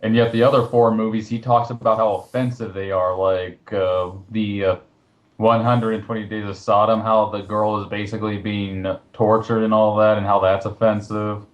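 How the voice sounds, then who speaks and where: A man with a somewhat low-pitched voice talks in a casual online call. He explains things in a loose, rambling way with some hesitation. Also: flat delivery, normal speed, lo-fi sound. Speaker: participant in a recorded online voice chat. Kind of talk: casual chat, recounting a list. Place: recorded Skype call.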